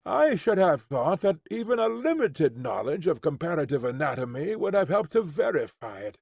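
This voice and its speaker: A man voicing a bitter, skeptical male professor with a stiff, sour manner and a husky voice. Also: on the high side, big pitch swings, moderate pace, darkish tone, no echo, clean studio sound, heavily acted.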